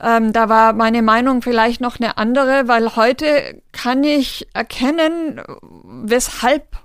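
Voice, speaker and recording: A woman guest in an interview, talking in an earnest, measured way, often pausing with fillers while she thinks. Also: on the high side, clean tone, no echo, studio quality. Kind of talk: thoughtful interview conversation.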